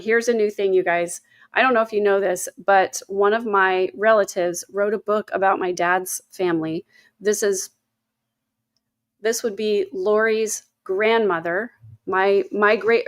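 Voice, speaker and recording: A woman's voice: mid-range voice, no echo, clean studio sound. A woman in her fifties hosting a casual livestream, rambling between topics and reading chat messages aloud with lots of fillers. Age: 50s. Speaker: livestream host.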